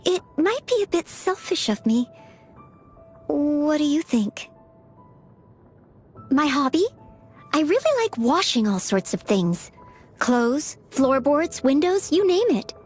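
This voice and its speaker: A woman's voice: high voice, big pitch swings, takes it slowly, long pauses, soft background music, heavily acted. A woman voicing a polite, self-effacing young maid and aspiring knight in a video game, eager to please. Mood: humble, devoted, then cheerful. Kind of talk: video game character voice lines.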